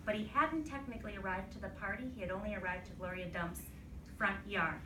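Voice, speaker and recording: A woman teacher reading aloud to a class and giving pupils deliberate, instructive directions, checking that they follow along. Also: medium pitch. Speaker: elementary school teacher. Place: classroom, recorded from a distance. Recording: roomy, distant mic, faint noise.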